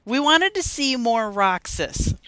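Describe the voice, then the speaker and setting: A young woman co-hosting a casual gaming podcast, telling stories in a giggly, animated way. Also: on the high side, slow pace, clear, resonant tone, dry recording, low-quality recording. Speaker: podcast co-host. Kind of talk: casual chat about video games.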